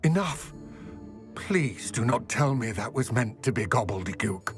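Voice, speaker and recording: A man's voice: medium pitch, lively intonation. Grave, weary male goblin, music behind. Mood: curt, exasperated. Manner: formal, measured, wordy. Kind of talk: video game cutscene acting.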